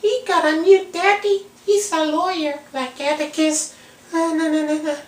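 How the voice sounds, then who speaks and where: A performer voicing a feisty, excitable little girl puppet with a childlike, pugnacious way of talking. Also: very high voice, normal speed, pitched above the performer's own voice. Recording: roomy, distant amateur recording.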